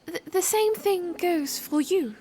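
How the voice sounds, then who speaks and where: A woman playing a shy, earnest young princess in a fan audio drama, speaking politely and haltingly. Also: very high-pitched, warm tone. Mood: tender, unsure. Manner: hesitant, halting, polite formal phrasing.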